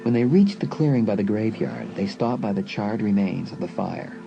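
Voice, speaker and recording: A man's voice: lowish voice, expressive, normal speed, soft background music. A man narrating a scripted suspense story for a dramatised audiobook. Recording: dull lo-fi sound, clear background noise.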